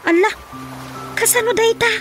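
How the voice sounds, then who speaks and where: A woman's voice: extremely high pitch, moderate pace, music in the background. A woman voicing a flustered, exclaiming village auntie with an Ilocano Filipino accent in a comedic radio drama.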